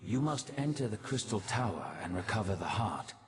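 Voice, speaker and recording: An actor playing a solemn, learned male mage advisor in a video game, speaking in a formal, measured, instructive way. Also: on the low side, very animated, crowd noise. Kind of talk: video game character dialogue.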